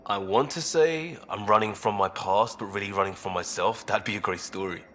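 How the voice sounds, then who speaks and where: A candid male crisis responder in a sci-fi video game. His voice is on the low side, casual and clipped. He sounds easygoing and blunt over faint ambient noise. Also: a little fast, pushed and bright. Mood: earnest.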